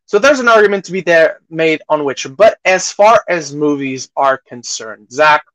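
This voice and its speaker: A man's voice: a little high, lively intonation, moderate pace, fairly bright tone, no echo, highs cut off. A man co-hosting a podcast, casually discussing movies in an opinionated, emphatic way. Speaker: podcast co-host.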